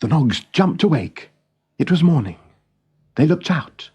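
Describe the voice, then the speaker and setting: A man reading a scripted children's story in a measured, expressive storytelling manner. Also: on the high side, breathy edge.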